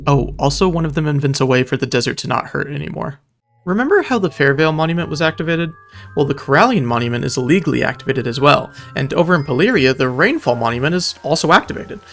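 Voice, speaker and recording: A man's voice: fairly high pitch, lively intonation, quick pace, fairly bright tone, soft background music. A man reading scripted recap narration in a storytelling style, with dramatic delivery and wry asides. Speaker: video narrator recapping a roleplay game event.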